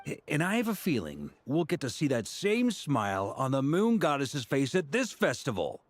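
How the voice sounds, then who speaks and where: An actor playing an easygoing, hearty, upbeat adventurer in a video game, breezy and reassuring. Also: male voice, medium pitch, huge pitch swings, normal speed, slight background noise. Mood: cheerful. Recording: music behind the voice.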